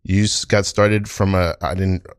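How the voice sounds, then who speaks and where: A man with a fairly low voice hosts a casual interview. He talks informally, and the recording is close and dry, free of room echo. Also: level tone, a little slow, clear, resonant tone. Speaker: podcast host.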